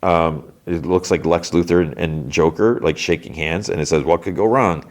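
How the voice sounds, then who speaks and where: A man's voice: low voice, moderate pace, a little mellow. A stuffed-up man hosting a podcast, rambling in casual chat about comics, with many restarts and trailing thoughts. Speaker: podcast host.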